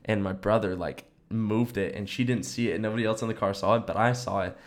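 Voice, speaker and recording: A man's voice: on the low side, quick pace. A young man co-hosting a podcast, chatting casually in slang and acting out what people said as he tells stories. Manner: animated slangy storyteller, acts out quotes. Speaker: podcast co-host. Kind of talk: casual chat, storytelling.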